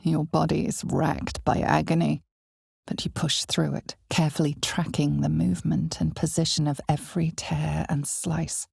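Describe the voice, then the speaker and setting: A woman voicing a grave, ominous narrator of a dark fantasy video game, speaking in measured, deliberate dramatic narration with a breathy, husky voice that often drops toward a whisper. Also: low-pitched, no room sound, studio quality, exaggerated voice. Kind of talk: scripted dramatic second-person fantasy narration.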